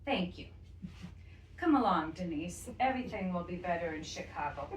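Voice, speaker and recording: Actress plays an anxious, doting grandmother: lively pitch, echoey distant stage sound. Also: moderate pace, natural voice. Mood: indignant. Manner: anxious, exclaiming, repeats herself.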